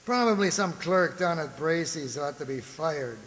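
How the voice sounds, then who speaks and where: An actor playing a dismissive, tired husband with a brusque, slangy manner in an amateur radio drama. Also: male voice, fairly high pitch, expressive, a little slow, a bit bright. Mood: scoffing, dismissive. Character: dismissive, tired working-class American husband. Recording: echoey hall.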